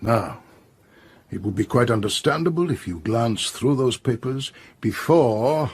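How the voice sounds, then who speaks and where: An actor playing a suave, probing police investigator in a radio drama, husky and deliberate. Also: male voice, mid-range voice, expressive. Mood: grave, coaxing.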